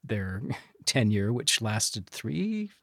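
Lower-voiced man, hesitant, in casual conversation. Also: very animated, very slow, vocal fry, fairly bright tone, no room sound, studio quality. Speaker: podcast producer and guest. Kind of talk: casual interview chat.

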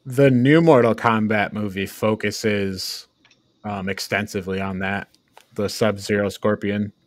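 A man chatting casually as a podcast co-host, haltingly thinking aloud through a review. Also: on the low side, fairly even intonation, no echo.

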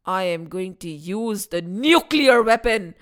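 A cackling, gloating sage-king out for revenge, as a woman storyteller puts him on, bright and lively in pitch, swinging from taunts to boasts. His storybook voice rings sharp and high above the telling. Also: unhurried, full character voice. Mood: boastful. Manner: mocking, gloating, with cackling laughs. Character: boastful vengeful powerful sage-king, adult man.

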